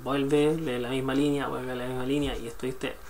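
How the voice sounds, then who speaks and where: A man's voice: mid-range voice, little pitch movement, brisk, very noisy. A young man chatting casually and a bit hesitantly as he shares a tip in a screen-recorded tutorial at his computer. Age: young adult. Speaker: student sharing a study tip. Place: small indoor room at a computer.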